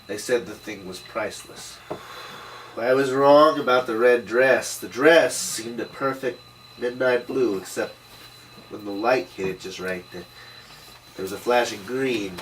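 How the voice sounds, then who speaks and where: A man's voice: mid-range voice, unhurried, lightly acted. Man acting a weary hard-boiled noir detective, distant mic. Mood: brooding, wry. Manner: wry, slangy. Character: hard-boiled middle-aged male 1930s detective, world-weary. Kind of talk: noir voice-over narration in a table read. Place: room at a script table read. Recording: distant mic, faint background noise.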